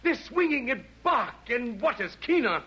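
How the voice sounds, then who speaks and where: An actor playing a vain, fiendish Roman emperor and music connoisseur, affected and florid, in a 1940s radio comedy. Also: male voice, very high-pitched, sing-song, normal speed, pushed and bright, breathy edge, full character voice. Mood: smug, scheming. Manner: affected, florid rhyming delivery. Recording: old 1940s radio broadcast.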